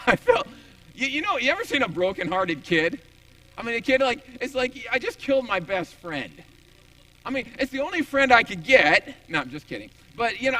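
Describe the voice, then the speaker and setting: Animated high-voiced pastor telling jokes. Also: male voice, low-quality recording. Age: 40s. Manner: animated, joking, self-deprecating storyteller with many restarts. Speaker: senior pastor. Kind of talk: conversational sermon with personal stories and jokes. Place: church sanctuary.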